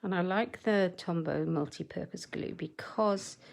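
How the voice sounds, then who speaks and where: A woman demonstrating card-making in a chatty, step-by-step way, her voice a little croaky. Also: fairly low pitch. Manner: chatty, measured step-by-step instructions. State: slightly croaky voice. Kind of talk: live crafting tutorial.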